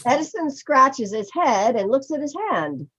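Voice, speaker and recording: A woman narrates the stage directions of a play from a script. Her voice sits a little high, and the audio is somewhat dull, like a video call. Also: very animated, unhurried, sharp tone, husky.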